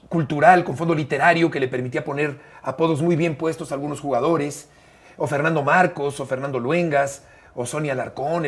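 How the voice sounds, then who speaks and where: A Mexican man in his fifties with a Mexican accent, a sports commentator telling stories in an interview, expressive and emphatic with frequent fillers. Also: fairly high pitch, breathy edge. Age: 50s. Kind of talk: conversational interview storytelling.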